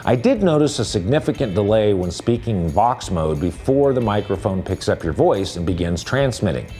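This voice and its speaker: A man's voice: on the low side, big pitch swings, normal speed, warm tone, soft background music. A man presenting a scripted product review as narration.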